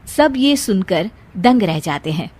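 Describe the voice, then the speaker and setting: A woman's voice: mid-range voice, sing-song, unhurried, darkish tone, husky. A woman narrator reading a scripted story.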